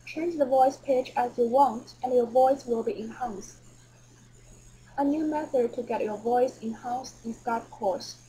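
A woman reading scripted narration for a software tutorial. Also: fairly high pitch, a little hiss or hum, rough recording.

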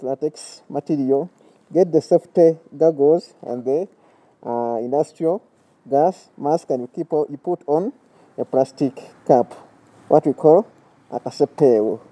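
A man's voice: on the high side, unhurried, no room sound, dull audio. A man in his thirties with a Ugandan accent, teaching a soap-making safety class outdoors and explaining each step. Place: outdoors by a metal gate.

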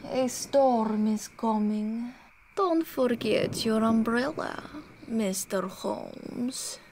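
Cool, mercenary woman of mystery, Russian accent, audio play; fairly high voice, very slow delivery. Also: a little mellow, slight background noise. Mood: cryptic, guarded. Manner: cool, cryptic, terse. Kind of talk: audio drama acting.

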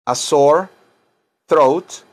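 A man's voice: a little high, extremely slow. A middle-aged man teaching a bilingual language lesson informally, repeating phrases and dictating them word by word. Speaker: English teacher and self-styled chaplain. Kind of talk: bilingual English lesson in Spanish and English. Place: small wood-paneled room indoors.

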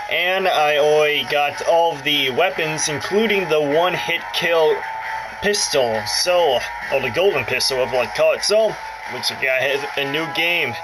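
A man's voice: a little high, low-quality recording. A man giving casual, rambling let's play commentary, jokey and often interrupting himself. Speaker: gaming video host. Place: indoors, about a foot from the handheld console. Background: game music from the console speaker.

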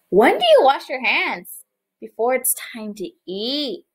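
A young woman preschool teacher reading a picture book aloud to small children and explaining it, with animated, exaggerated expression. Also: high voice, slow, husky. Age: young adult. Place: small living room, indoors, on a couch.

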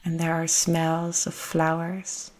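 A woman guides a meditation in a low voice. Her delivery is very slow and soothing, with long pauses between phrases. The intonation stays gentle and flat, never hurried. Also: low-quality recording.

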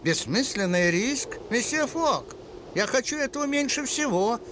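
An actor playing a fussy, excitable animal on an expedition in a cartoon. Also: male voice, very high-pitched, unhurried, noisy background. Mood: worried. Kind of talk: cartoon dub. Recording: music behind the voice.

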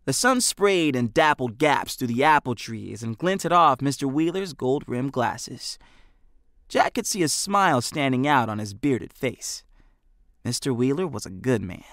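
A man's voice: mid-range voice, expressive, a little slow, a bit bright, no echo. An American man narrating a storybook as an audiobook reader, in scripted style, close on the microphone.

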